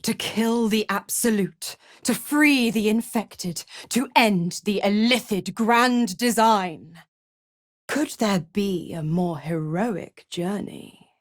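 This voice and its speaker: An actress playing a seductive, mocking, imperious female devil in a video game, with a sultry, taunting and theatrically drawn-out delivery. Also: medium pitch, no echo, clean studio sound, natural voice. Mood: taunting, commanding.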